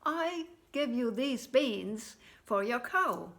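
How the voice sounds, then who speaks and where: A tiny storybook trader man, voiced by a woman, friendly and mysterious. The voice is fairly high and coaxing as it pushes a bargain. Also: animated, slow, a bit bright, heavily acted. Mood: persuasive.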